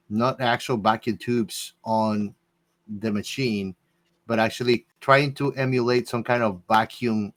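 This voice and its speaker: A man's voice: medium pitch, a bit bright. A man speculating in a casual panel discussion, often searching for the right words. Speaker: podcast panelist.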